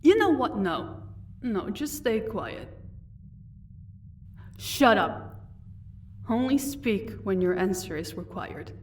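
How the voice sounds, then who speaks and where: A woman's voice: medium pitch, lively intonation, slow pace, pauses often, recorded from a distance, slight background noise. A woman playing a proud, sardonic vampire captor in an audio roleplay, commanding and dryly sarcastic. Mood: irritated.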